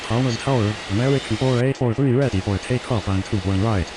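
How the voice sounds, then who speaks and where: A man's voice: mid-range voice, a little fast. A man speaking scripted air traffic radio phraseology as an airline pilot. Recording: loud noisy hiss and hum.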